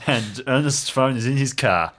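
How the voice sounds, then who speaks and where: Mid-pitched man, dry and brief. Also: moderate pace, fairly bright tone. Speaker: player in a tabletop role-playing show. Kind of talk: casual improvised tabletop game chat. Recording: music playing behind the voice. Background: background music.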